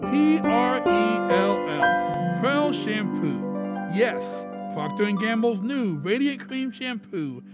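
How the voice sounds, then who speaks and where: High, animated old-time radio announcer man. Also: slow pace. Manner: declamatory, salesmanlike. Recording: dull sound under music. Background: music.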